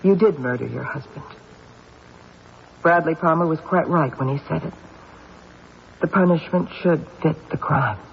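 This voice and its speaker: An actor playing a shrewd, stern police detective, accusing and deliberate, in a radio mystery drama. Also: male voice, a little high, creaky. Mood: coldly resolute. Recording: dull, noisy old radio broadcast.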